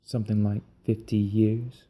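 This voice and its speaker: A man playing a haunted, intense leader of dream thieves in an audio drama, speaking in a brooding, deliberate way. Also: on the low side, level tone, mellow, close to an everyday voice. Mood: haunted, regretful.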